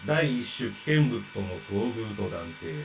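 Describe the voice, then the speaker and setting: A man's voice: lowish voice, lively intonation, a little fast, creaky, faint music behind. Clipped, formal male android. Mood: formal, procedural. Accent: Japanese. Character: formal male android reciting system reports. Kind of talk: Japanese visual novel voice acting.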